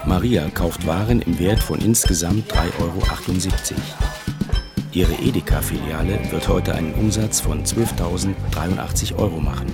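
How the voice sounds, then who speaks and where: Very low-voiced male narrator. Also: moderate pace. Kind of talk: scripted documentary narration. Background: guitar music.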